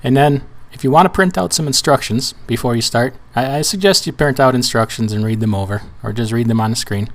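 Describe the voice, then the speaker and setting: A man with a hoarse, weak voice walking viewers through filling out a form on screen, in a casual, rambling way with restarts and fillers. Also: medium pitch, fairly quick, few pauses. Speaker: trucking channel host. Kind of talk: screen-share tutorial walkthrough.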